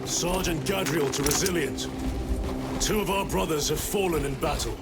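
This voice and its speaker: A man's voice: fairly high pitch, moderate pace, very noisy, lightly acted. An actor playing a grim male warrior sergeant who speaks bluntly. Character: gruff male space marine sergeant, grim. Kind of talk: video game cutscene acting.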